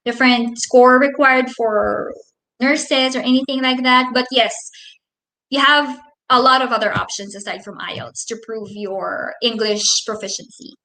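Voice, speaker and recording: A woman's voice: fairly high pitch, fairly even intonation, a little slow, pushed and bright, reverberant, poor audio. A woman in her thirties with a Filipino accent, hosting a casual live question and answer stream and reading viewers' comments aloud. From Philippines. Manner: friendly, reads viewer comments aloud, explains at length. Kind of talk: casual live Q&A, switching between English and Tagalog.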